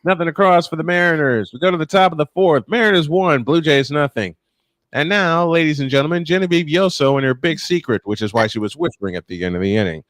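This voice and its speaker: An older man doing live baseball play-by-play with folksy, playful banter between pitches. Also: animated, quick pace, a bit bright, no room sound.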